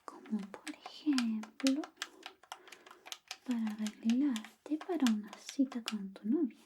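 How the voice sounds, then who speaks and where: A young woman playing a coy, jealous best friend in a soft, whispery ASMR personal attention roleplay. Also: background noise. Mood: coy teasing. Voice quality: soft, whispery, high-pitched. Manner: slow, coy, murmuring. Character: jealous female best friend secretly in love, teasing, caring.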